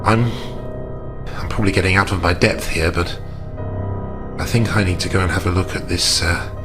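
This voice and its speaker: A man playing a courteous, concerned gentleman investigator in a tabletop horror game, speaking in a formal, measured, hesitant way. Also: sits low, fairly even intonation, music in the background, close to an everyday voice. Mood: protective, grave.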